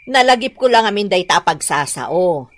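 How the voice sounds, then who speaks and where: A concerned Ilocano wife in a radio drama. Her mid-pitched voice is expressive and closely recorded, earnest and admonishing. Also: moderate pace, no room sound. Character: concerned wife advising her hot-tempered husband.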